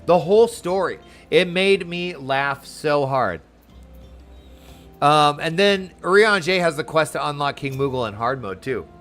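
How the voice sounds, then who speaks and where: A man's voice: on the high side, expressive, a little slow, fairly bright tone. A man chatting casually and enthusiastically as he streams a game, with slangy, informal talk. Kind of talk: casual chat while playing a game. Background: video game music.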